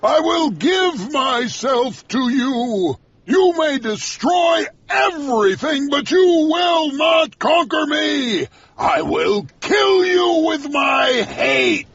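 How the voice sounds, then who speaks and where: A man voicing an old, obsessed sea captain in an audiobook, bellowing with a raspy, strained voice. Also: very high-pitched, big pitch swings, slow, a bit bright, dry recording, raised voice, highs cut off, voice pushed up, exaggerated voice. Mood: raging. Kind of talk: audiobook character voice.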